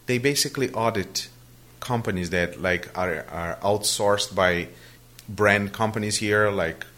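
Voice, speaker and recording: A man's voice: lowish voice, measured pace, a bit bright. A Brazilian man, native Portuguese speaker, answering interview questions in a hesitant conversational way, often restarting his sentences. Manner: hesitant, many restarts and fillers.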